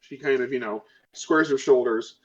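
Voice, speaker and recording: A man's voice: mid-range voice, moderate pace, muffled recording. A man chatting casually as a player in a tabletop role-playing game, slangy and paraphrasing as he goes.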